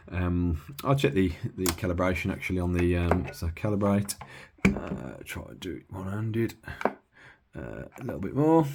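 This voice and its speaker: A man's voice: deep voice, background noise. A man giving a casual, unscripted technical demonstration as a hobbyist, explaining things in a hesitant, matter-of-fact way. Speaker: hobbyist demonstrating a used CB radio.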